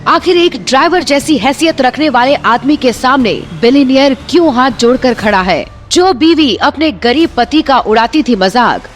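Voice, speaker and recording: A woman's voice: sits high, slight background noise. A woman narrating a scripted audio drama with dramatic storytelling.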